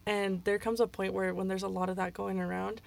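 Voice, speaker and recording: A woman's voice: medium pitch, flat delivery, a little fast, gravelly. A young woman chatting casually in a podcast conversation, leaning on "like" as she talks.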